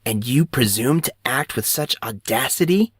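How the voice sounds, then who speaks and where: A man's voice: mid-range voice, lively intonation, normal speed, breathy edge, dry recording, clean studio sound. A man voicing an arrogant, sneering teenage bully from a privileged family in an audiobook. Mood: sneering contempt. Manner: haughty, contemptuous.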